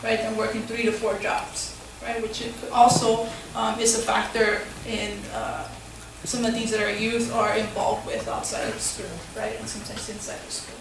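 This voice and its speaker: A woman's voice: fairly high pitch, completely flat delivery, normal speed, gravelly, hardly any pauses, background noise. A young woman teacher and community organizer giving a casual forum talk, full of fillers and tag questions. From Tucson, Arizona. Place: school hall. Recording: echoey hall, far from the mic.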